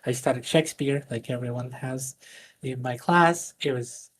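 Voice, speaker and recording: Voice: mid-range voice, normal speed, slight background noise. A voice coach whose first language is Spanish, earnestly explaining voice training on a live stream with plenty of fillers and restarts.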